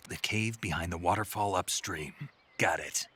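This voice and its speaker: A gruff male special-ops soldier in a game cutscene. His voice sits very low yet has a bright, forceful edge. He speaks in short, clipped, murmured lines. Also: moderate pace, a little hiss or hum. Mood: terse. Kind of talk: video game cutscene acting.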